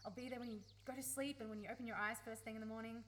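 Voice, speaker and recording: A woman's voice: fairly high pitch, fairly even intonation, very fast, fairly bright tone, natural voice. A woman acting in a radio play as a blunt, emotional young woman, hurt and in love with her best friend. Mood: tender, pleading. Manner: emotional, pleading, sweary, blunt. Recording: faint background noise, live recording.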